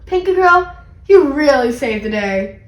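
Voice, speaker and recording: A woman reading the part of a kindly, brisk female town mayor in a storybook, with encouraging delivery. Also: high-pitched, animated, roomy sound. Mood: announcing, proud.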